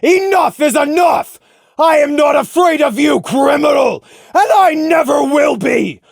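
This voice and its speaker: A man's voice: very high-pitched, lively intonation, measured pace, creaky, no echo, raised voice, clean studio sound, heavily acted. A man voicing a self-righteous, defiant unicorn stallion guard captain in a dramatic audio story, declaiming with open contempt. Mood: furious, defiant.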